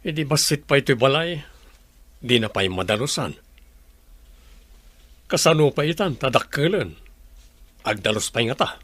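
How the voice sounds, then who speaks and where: A man's voice: medium pitch, expressive, unhurried, long pauses, fairly bright tone, no room sound. A man playing a weary, guarded grown son in an Ilocano radio drama.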